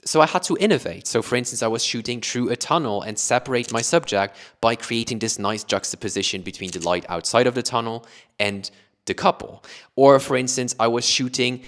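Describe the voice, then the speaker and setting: A man's voice: medium pitch, fairly quick, a bit bright. A young man from Luxembourg with an international accent shaped by British-taught English, explaining camera gear to his viewers and rephrasing as he goes. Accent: international mix, Luxembourgish with British-taught English. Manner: explanatory, rephrases with "let's say" and "additionally". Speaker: photography YouTuber. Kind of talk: talking to camera about gear.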